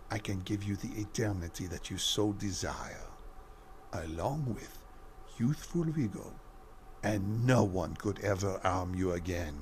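A man plays a suave male vampire in a horror radio play. His French-accented voice is lowish and takes its time, coaxing with courtly seduction. Noisy ambience sits behind him. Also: a little mellow. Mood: tempting. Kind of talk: horror radio drama acting.

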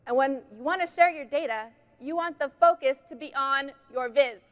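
A woman's voice: high-pitched, normal speed. A woman giving a polished, enthusiastic scripted keynote presentation on a large conference stage.

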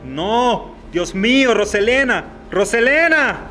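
An actor playing an anguished, horrified young bridegroom in a radio soap opera, wailing melodramatically. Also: male voice, high voice, expressive, takes it slowly, pushed and bright, faint music behind, exaggerated voice.

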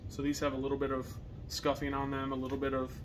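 Young american guy chatting to camera, pretty flat halting delivery, noisy basement sound. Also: medium pitch. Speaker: reseller co-hosting a video channel. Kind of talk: casual chat to camera. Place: partially finished basement, indoors. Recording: noisy basement room recording.

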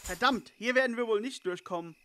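A man's voice: sits high, fairly quick, bright, forceful tone, faint music behind. A man playing an eager, nerdy teenage boy detective in an audio drama parody. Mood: urgent.

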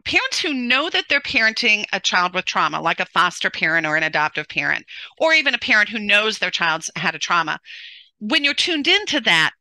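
Loud, bright-voiced middle-aged woman coach. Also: mid-range voice, fairly quick, no echo, low-quality recording. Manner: loud, animated, many restarts. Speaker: parenting coach guest. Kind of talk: casual interview conversation. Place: home room, indoors. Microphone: headset on a video call.

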